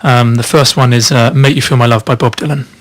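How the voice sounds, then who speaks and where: A man who sings in a vocal group talks fast and brightly as a radio guest. His voice is a little husky today, and he promotes his group's new music with eager energy. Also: mid-range voice, fairly even intonation. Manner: upbeat, promotional. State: slightly husky voice. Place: radio studio.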